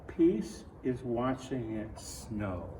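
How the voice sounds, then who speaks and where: Man, American accent, children's read-aloud, gentle, earnest, halting phrases, medium pitch, echoey room, faint noise. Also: expressive. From Ohio, United States. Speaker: picture-book reader. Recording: roomy echo, distant mic, faint noise.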